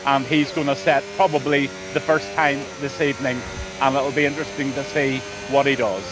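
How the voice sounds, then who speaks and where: A man's voice: medium pitch, fairly even intonation, normal speed, music in the background, poor audio. A man with a Scouse accent commentating a sim race on a live stream, greeting viewers by name and letting his voice trail off at the ends of lines.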